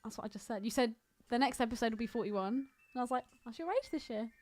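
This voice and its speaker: High, lively, blunt woman bantering. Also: fast, soft background music. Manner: blunt, irreverent. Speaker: podcast co-host. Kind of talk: casual banter.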